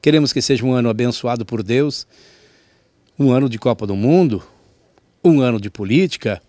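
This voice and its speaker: Middle-aged male host, folksy and chatty, mid-pitched, very dry close mic. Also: normal speed, no echo. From southern Brazil. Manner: warm, folksy, chatty with small laughs. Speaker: TV show host. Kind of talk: friendly direct address to viewers. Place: outdoors in front of a wooden fence. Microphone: handheld mic.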